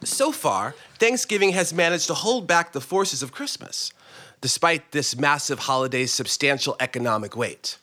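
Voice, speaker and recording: A man's voice: a little high, expressive, normal speed, pushed and bright. A man reading a comic story aloud with theatrical, dramatic delivery, acting out characters as he goes. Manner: theatrical, acts out characters, breaks into chuckles. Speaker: story reader, performer. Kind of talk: dramatic comic audiobook narration.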